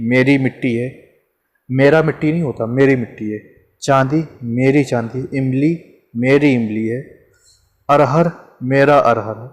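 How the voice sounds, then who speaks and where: Male teacher, mid pitch, chatty lesson delivery with rhetorical questions and lots of repetition. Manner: conversational, repeats examples, asks and answers himself. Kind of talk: informal grammar lesson.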